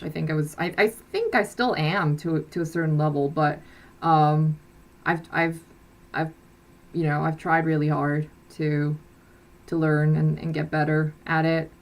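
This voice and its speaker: Young korean-born woman on a livestream, low voice, rambly, lots of likes and ums. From South Korea. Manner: rambling, many restarts and fillers. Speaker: livestream host. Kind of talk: casual live-stream chat. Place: bedroom with blackout curtain.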